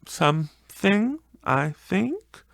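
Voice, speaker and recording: A man's voice: high-pitched, sing-song, very slow, vocal fry, fairly bright tone, no echo, studio quality, pitched above the performer's own voice, exaggerated voice. A man voicing a solemn, insistent ghostly spirit in an audiobook of a Victorian tale. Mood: probing.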